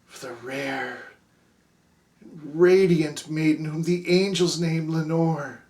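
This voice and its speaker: A man giving a dramatic, expressive poetry recitation, swinging between hushed near-whispers and agitated outbursts. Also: a little high, slow pace.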